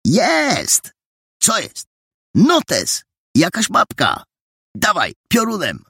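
A man voicing an excitable, imaginative boy detective who talks eagerly and insistently. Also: mid-range voice, unhurried, slightly rough, dry recording, clean studio sound, pitched above the performer's own voice, heavily acted. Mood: triumphant.

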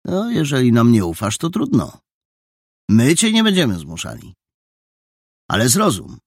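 Man playing an officious, suspicious boy leader; mildly raised pitch. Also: wildly swooping pitch, unhurried, darkish tone, breathy edge, dry recording, studio quality, exaggerated voice.

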